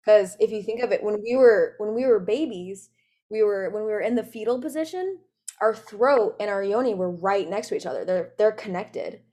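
A woman's voice: medium pitch, moderate pace, dark tone. A woman hosting a podcast, chatting casually with loose "like" fillers. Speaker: podcast host. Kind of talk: casual interview chat.

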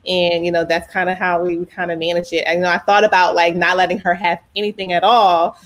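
A woman with a fairly low voice talks as an interview guest. She speaks frankly and casually, leaning on plenty of "you know" and "um.". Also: little pitch movement, fast talker, fairly bright tone. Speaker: parent advocate, interview guest. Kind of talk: conversational interview.

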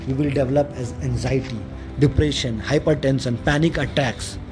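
A man from India with an Indian accent and Hindi as his first language gives an informal motivational self-help monologue as a podcast host, repeating and listing his points in halting English. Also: medium pitch. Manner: repetitive, emphatic listing of points; halting, non-fluent English. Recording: music playing behind the voice. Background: clear background music.

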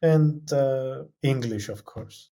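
A man's voice: mid-range voice, takes it slowly, warm tone. A young man whose first language is Greek, answering interview questions in a hesitant way with many fillers and restarts. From Cyprus. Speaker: guest, film graduate.

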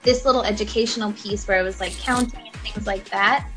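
A woman's voice: mid-range voice, steady tone, brisk, fairly bright tone, soft background music, rough recording. A young woman voice actor chatting casually in an interview, giggling often and filling her talk with likes and ums. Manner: chatty, giggly, many fillers. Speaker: voice actor guest.

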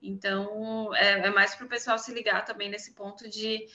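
A woman's voice: mid-range voice, level tone, moderate pace, bright, forceful tone, muffled recording. A young woman with a Brazilian Portuguese accent, a podcast guest casually sharing advice and experience over an online call. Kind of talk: casual conversation, giving advice.

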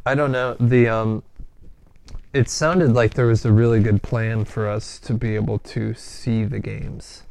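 A man's voice: fairly low pitch, fairly even intonation, measured pace, vocal fry, a little mellow. A middle-aged man co-hosting a casual podcast chat, easygoing and agreeable, telling stories in a loose rambling way. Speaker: podcast co-host.

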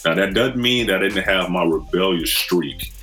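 A man's voice: low-pitched, moderate pace, fairly bright tone, soft background music. A man in his forties from Michigan, a podcast guest telling his story in an earnest, reflective way. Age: 40s. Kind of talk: casual conversation.

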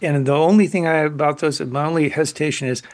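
An older man, a guest artist on a radio interview, answering thoughtfully with frequent restarts and pauses. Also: fairly high pitch, no room sound.

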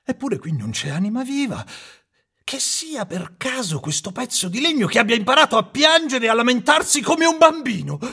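A jittery old carpenter, pushed far up into a thin, bright register with a gravelly, husky edge. He stammers and mutters to himself, swinging between bluster and fright as the storybook goes on. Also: very high-pitched, big pitch swings, measured pace, pitched above the performer's own voice, heavily acted. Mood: frightened. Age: older.

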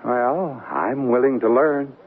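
An actor playing a good-natured, plain-spoken new husband, wry and bemused, in a 1970s radio drama. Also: male voice, mid-range voice, slow pace, natural voice. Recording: muffled, noisy old radio broadcast.

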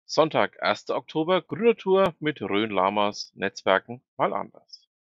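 A man's voice: mid-range voice, big pitch swings, unhurried, dry recording, lo-fi sound. A man hosting a regional news podcast, reading items with informal asides to listeners, his speech a bit slurred from a numb mouth after the dentist. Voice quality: slightly slurred articulation. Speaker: newsletter podcast host.

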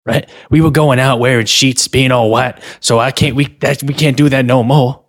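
A man's voice: mid-range voice, steady tone, close to an everyday voice. A man playing a hesitant, stammering street performer who promotes his one-man show in an improvised comedy interview. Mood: bumbling. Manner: halting, stammering, full of filler sounds.

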